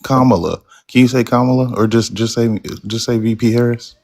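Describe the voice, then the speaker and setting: A man's voice: medium pitch, steady tone, brisk, darkish tone. A man helping host a live call-in stream, insistent and needling, repeating himself to press the caller.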